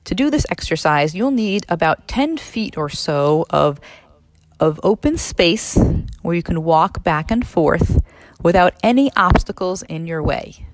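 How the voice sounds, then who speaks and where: A woman narrating a guided meditation as an instructor, in a measured, instructional way with pauses between phrases. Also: fairly low pitch, no echo. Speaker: neurologist leading a guided meditation.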